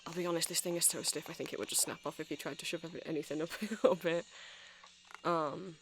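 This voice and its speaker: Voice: mid-range voice, fairly even intonation, fast talker, slight background noise, close to an everyday voice. A performer in an ASMR roleplay playing a nerdy, awkward, friendly male vampire music-shop seller who rambles hesitantly and apologetically to the listener. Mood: rambling, helpful.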